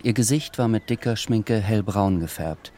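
An actor as the composed narrator of a literary radio drama, measured and precise. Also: male voice, on the low side, dark tone, lightly acted. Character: composed, observant male storyteller.

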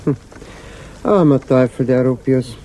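An actor playing an insinuating, condescending provincial gentleman in a 1950s radio drama, speaking in halting, knowing phrases. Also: mid-range voice, a little hiss or hum, close to an everyday voice, rough recording.

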